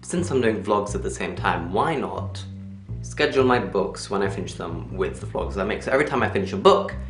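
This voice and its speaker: A young man chatting casually in a personal vlog, telling things in an animated way. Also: low voice, a little fast. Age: young adult. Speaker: vlogger. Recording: roomy echo, music behind.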